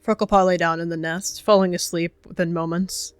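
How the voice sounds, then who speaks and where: A woman's voice: lowish voice, steady tone, brisk, smooth, no echo, faint music behind. A woman reading scripted story narration for an animal roleplay.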